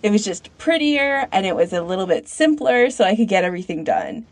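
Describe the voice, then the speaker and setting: A woman's voice: medium pitch, sing-song, fairly quick. A woman co-hosting a podcast in a casual, conversational way. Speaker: podcast co-host. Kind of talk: casual discussion.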